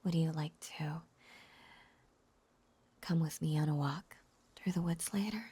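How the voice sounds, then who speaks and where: A woman playing a lovesick, possessive, lonely witch in an intimate audio roleplay, coaxing and halting in a breathy whisper. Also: deep voice, dark tone, heavily acted. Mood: tender, coaxing.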